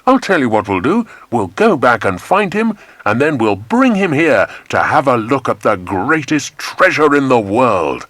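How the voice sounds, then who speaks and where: A man's voice: mid-range voice, sing-song, unhurried, hardly any pauses, a bit bright, no echo, pitched above the performer's own voice. A man voicing a brave, hearty grown man who leads children on an adventure in a storybook, speaking emphatically. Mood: reassuring, brisk.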